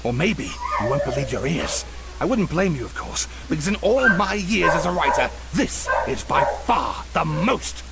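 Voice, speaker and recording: An actor playing a wordy, self-assured, theatrical novelist in an anime game. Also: male voice, on the high side, big pitch swings, normal speed, creaky. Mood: dramatic. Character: theatrical, long-winded male novelist and teacher. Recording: noisy home gameplay capture.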